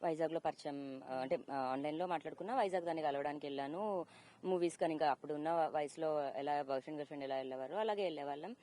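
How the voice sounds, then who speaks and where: Fast-talking woman in her thirties. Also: sits low, dark tone. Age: 30s. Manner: code-mixes English words into her talk. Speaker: interview guest. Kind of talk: personal account in an interview.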